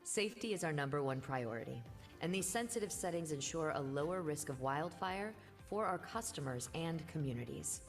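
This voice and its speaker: Low, even-toned female voice-over for a utility safety video, played back in a hall with music under it. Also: moderate pace. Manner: calm, even, informative. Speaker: video narrator. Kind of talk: scripted corporate voice-over.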